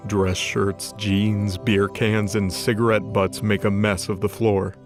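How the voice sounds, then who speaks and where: Low-voiced man narrating dramatic fiction. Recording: music behind the voice. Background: music.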